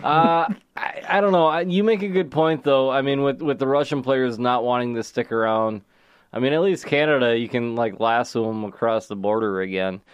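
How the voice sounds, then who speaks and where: A man's voice: medium pitch, moderate pace, smooth, no echo, muffled recording. A man co-hosting a casual sports podcast, joking and rambling, often restarting his sentences. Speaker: podcast co-host.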